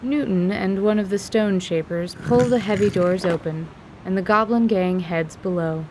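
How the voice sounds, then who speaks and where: A woman's voice: medium pitch, normal speed. Woman narrating fantasy drama over noise.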